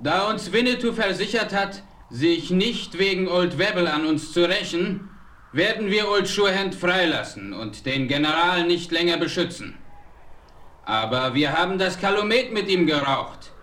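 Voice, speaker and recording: A formal, dignified chief. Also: male voice, high voice, lively intonation, normal speed, fairly bright tone, reverberant. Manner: formal, ceremonial speech. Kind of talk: 1970s radio play acting.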